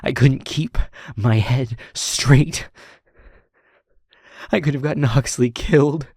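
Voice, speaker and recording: An actor as a husky, guilt-ridden survivor, halting. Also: mid-range voice, darkish tone, dry recording, clean studio sound. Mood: angry self-blame. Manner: halting, raw, confessional. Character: anguished, self-blaming, angry and guilt-ridden survivor, apologetic. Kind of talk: emotional audio drama monologue.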